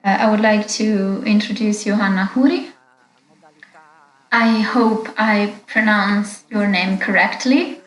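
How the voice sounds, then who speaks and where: A woman interpreting into English for a webinar, speaking in a steady, measured way with small fillers. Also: medium pitch, reverberant, low-quality recording. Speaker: interpreter and moderator.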